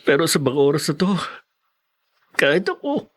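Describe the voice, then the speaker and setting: A man's voice: a little high, very animated, normal speed. A man playing a struggling, anxious young student, earnest and hesitant, in a Filipino radio drama. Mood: discouraged.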